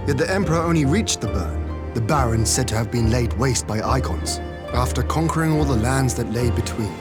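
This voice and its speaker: A man's voice: mid-range voice, a little fast, music in the background, natural voice. An actor playing an earnest, learned man in a video game, making polite, reasoned, formal explanations. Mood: measured skepticism.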